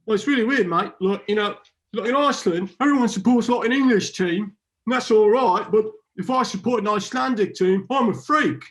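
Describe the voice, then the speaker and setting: A man playing an obsessive, loud English football fan, ranting in a blokey way. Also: sits high, a little fast, pitched above the performer's own voice. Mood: indignant.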